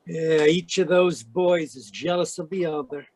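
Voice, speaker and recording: A man's voice: on the high side, measured pace, a little mellow, slight background noise. A man playing a pushy, slick, scheming villain in an old-time radio drama. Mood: smug. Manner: slick, menacing, calls woman baby. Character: scheming, pushy male villain in frontier melodrama.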